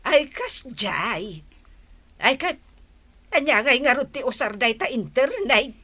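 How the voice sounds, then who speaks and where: Bewildered older country mother, Ilocano accent, high-ish sharp voice, querulous, radio drama. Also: sing-song, slow pace, exaggerated voice. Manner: querulous, exclamatory.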